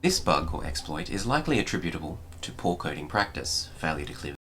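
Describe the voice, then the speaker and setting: A man narrating a scripted gaming tutorial in a formal, measured, precise manner. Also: extremely low pitch, big pitch swings, bright, forceful tone, a little hiss or hum. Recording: music behind the voice. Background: music.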